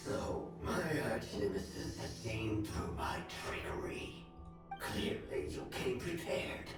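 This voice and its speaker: A man's voice: fairly low pitch, big pitch swings, slow pace, recorded from a distance, exaggerated voice. A man voicing a gloating, sly ancient nightmare monster in a video game, with a theatrical, menacing, whispery delivery. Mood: sinister gloating. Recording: heavy echo, music behind.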